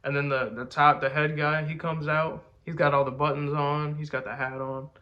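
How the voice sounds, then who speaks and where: A man's voice: fairly high pitch, level tone, normal speed, highs cut off. A man with a congested voice, talking to camera in a laid-back, slangy, rambling way. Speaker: rapper and video host. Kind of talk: casual talk to camera.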